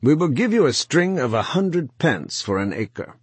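A man's voice: medium pitch, big pitch swings, unhurried, darkish tone, breathy edge, dry recording, voice pushed up. A man playing an oily one-eyed land buyer. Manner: unctuous then harsh.

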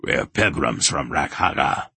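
A shrewd old man of magic from a fantasy audiobook, acted by a male narrator. His voice is very low and a little rough, with formal phrasing. It is recorded dry and close. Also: flat delivery, normal speed, sharp tone, no echo, full character voice. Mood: smooth, deferential. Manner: formal, deferential. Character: wily old male sorcerer posing as humble pilgrim.

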